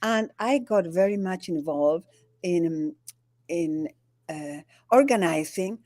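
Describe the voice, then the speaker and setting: An older woman from Chile with a Chilean Spanish accent, talking in an interview as a guest. Her native language is Spanish, and she speaks haltingly, with many restarts and frequent laughs. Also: fairly low pitch, lively intonation, mellow, dry recording. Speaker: guest, spiritual teacher. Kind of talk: conversational interview. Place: home in the Andes.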